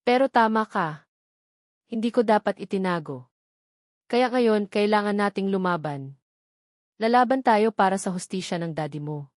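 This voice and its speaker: A woman's voice: medium pitch, lively intonation, normal speed, pauses often, no room sound, studio quality, natural voice. A woman voicing an earnest, remorseful husband, a lawyer, in a pleading manner for a drama story. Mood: resolved.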